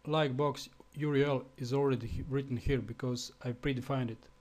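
Slovenian man giving a halting tutorial. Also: mid-range voice, fairly even intonation, darkish tone. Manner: halting, with fillers and restarts. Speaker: web developer. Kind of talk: screencast tutorial.

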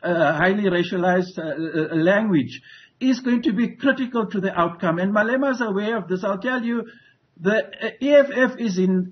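Emphatic older South African man on video call. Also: sits high. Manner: emphatic, assertive, with many restarts and fillers. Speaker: political analyst. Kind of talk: live news analysis. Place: home room with wooden ceiling. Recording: muffled video call audio.

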